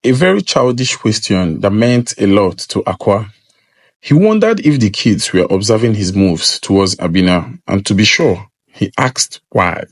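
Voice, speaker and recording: A man's voice: on the low side, animated, normal speed, no echo. A man narrating a scripted folktale as a storyteller.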